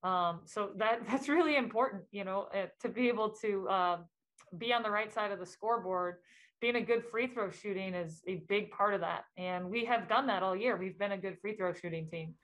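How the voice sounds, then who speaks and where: A woman's voice: mid-range voice, normal speed, a bit bright, poor audio. A woman head coach answering questions at a post-game press conference, speaking thoughtfully with frequent ums and restarts.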